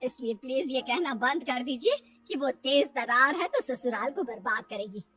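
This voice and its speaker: A woman's voice: high voice, animated, normal speed, a bit bright, distant mic. A woman voicing an outspoken woman in a moral-story cartoon, emphatic and opinionated, a little off the microphone. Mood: indignant. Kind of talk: animated cartoon story acting.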